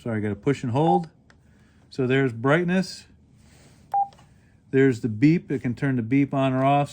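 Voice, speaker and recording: A man hosting a casual product demonstration, explaining things step by step in a plain-spoken, halting way. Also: mid-range voice, a little mellow. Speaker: radio reviewer and host.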